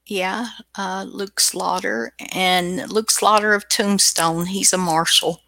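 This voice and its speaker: A woman chats playfully as a show host. Her voice is bright with a clear creak, and she takes her time. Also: fairly low pitch, level tone, slow, no room sound. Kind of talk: casual unscripted chat.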